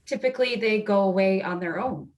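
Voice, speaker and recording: A woman playing a patient, matter-of-fact doctor in a play read over a video call, with a measured clinical manner. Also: mid-range voice, lightly acted. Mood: professional, patient. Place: indoors, remote video call performance.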